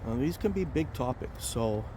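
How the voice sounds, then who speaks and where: A man hosting a casual vlog while walking outdoors near a highway, chatty and rambling with many fillers and restarts. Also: expressive, normal speed, mellow, slight background noise. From Romania. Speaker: vlog host, financial planner.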